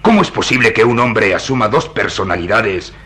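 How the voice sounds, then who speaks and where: An actor playing a stout, baffled and excitable police inspector in a radio drama, theatrical, incredulous and emphatic. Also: male voice, fairly low pitch, fairly quick, a little hiss or hum. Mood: exasperated, pleading. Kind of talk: old radio drama acting.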